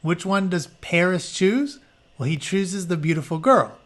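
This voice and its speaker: A man with a fairly high voice works as a teacher reading a book aloud. He moves between storytelling narration and casual, conversational asides that explain things for the listeners. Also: expressive, unhurried, a bit bright. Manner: informal, conversational, explains as he goes.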